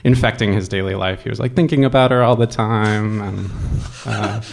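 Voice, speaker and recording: A man telling a true story in a casual, thoughtful way, haltingly and conversationally. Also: on the low side, steady tone, creaky.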